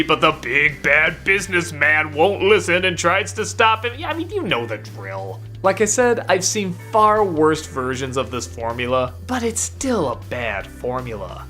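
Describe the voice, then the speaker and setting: A man's voice: mid-range voice, bright, forceful tone. A man giving scripted film review commentary in a conversational, wryly opinionated way.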